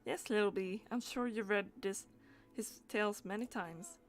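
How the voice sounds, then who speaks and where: A woman game streamer with a mid-pitched voice, talking through her play and reading dialogue aloud. Her delivery is halting, with stumbles and repeated words.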